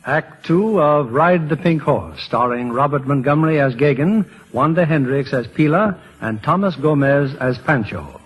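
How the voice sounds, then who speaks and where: Higher-pitched vintage radio host man. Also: animated, normal speed, few pauses, husky. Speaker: radio drama host and narrator. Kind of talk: 1940s scripted dramatic narration. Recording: muffled old radio, music behind.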